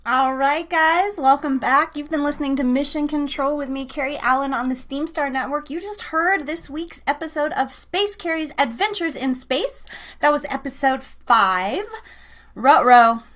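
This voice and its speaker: High-voiced, playful woman hosting. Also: normal speed, fairly bright tone. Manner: playful, chatty, with mock-dramatic asides. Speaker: radio show and podcast host. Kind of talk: casual live chat with listeners.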